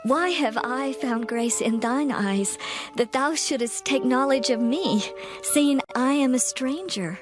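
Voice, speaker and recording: A humble young widow in a scripture audio drama. Her voice is fairly high, with meek, earnest and deferential phrasing, and music plays clearly behind her. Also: slow pace. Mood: humble, grateful. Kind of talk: dramatized scripture acting, archaic English.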